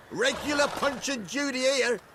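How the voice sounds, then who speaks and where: An oafish, mocking bandit in a fantasy game. The voice is creaky and high, very drawn out, with coarse rustic slang. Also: male voice, noisy background, full character voice. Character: mocking, cackling male bandit oaf. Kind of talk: video game cutscene acting.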